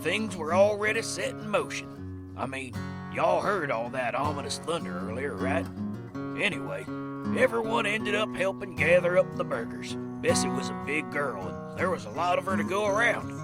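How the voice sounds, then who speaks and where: Animated folksy male storyteller, higher-pitched voice. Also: normal speed, loud music behind the voice. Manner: animated, folksy, conversational asides. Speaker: narrator and lead player of a comedy audio drama. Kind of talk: folksy storytelling narration in a comedy audio drama.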